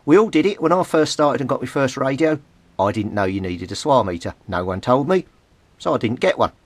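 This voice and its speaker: A man's voice: medium pitch, expressive, fairly quick, no echo. British man giving an informal hands-on tutorial. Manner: explanatory, repeats key points step by step. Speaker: CB radio hobbyist giving a tutorial. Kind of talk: informal hands-on instructional talk.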